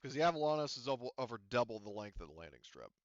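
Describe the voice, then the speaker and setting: A man running a tabletop roleplaying game as game master, casually narrating and thinking aloud in a halting way. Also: medium pitch, big pitch swings, dry recording, lo-fi sound.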